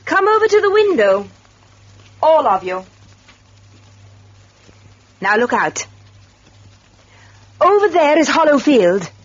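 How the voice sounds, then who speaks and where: An actress playing a shrewd farmer's wife in a radio drama, measured, probing and pointed, with a slightly husky voice. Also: female voice, high-pitched, very animated, no echo, heavily acted. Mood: assured. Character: shrewd, composed farmer's wife, quietly relentless. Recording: dull old radio recording.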